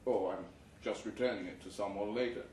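A man's voice: lowish voice, fairly quick, darkish tone, pitched below the performer's own voice, lightly acted. A man reading a comic story live, voicing a vain, showy male ex-pop star. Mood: falsely casual. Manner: self-satisfied, showy. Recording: echoey, noisy pub room, distant mic.

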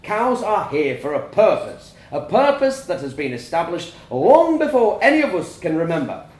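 A man voicing a stern, domineering farmer father who lectures solemnly and erupts into furious shouting. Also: high-pitched, very animated, normal speed, reverberant, voice pushed up.

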